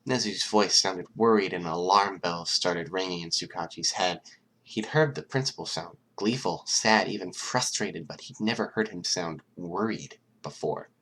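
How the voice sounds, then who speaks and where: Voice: low-pitched, normal speed, a bit bright, rough recording. A narrator reading scripted fiction aloud as an audiobook.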